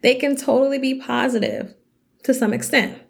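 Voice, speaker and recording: A woman's voice: normal speed, vocal fry, breathy edge. A young woman hosting her own podcast as a self-worth coach, talking casually and chattily, her voice a little scratchy. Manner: chatty, animated, quotes others in higher voices. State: slightly scratchy voice. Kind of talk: casual solo podcast talk.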